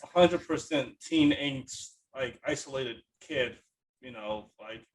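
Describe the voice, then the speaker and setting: A man's voice: medium pitch, slow, a little hiss or hum, rough recording. A man hosting a podcast in a casual, enthusiastic and rambling conversational way. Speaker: podcast host. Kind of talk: casual chat.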